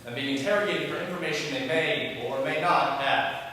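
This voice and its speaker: A young man recites stage lines briskly and emphatically with a high-pitched voice. He is heard from far off across a long, echoey hall, with a faint hiss behind him. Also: pushed and bright. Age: young adult. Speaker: reader's theatre performer. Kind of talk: competitive reader's theatre performance. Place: auditorium stage. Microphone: far room mic.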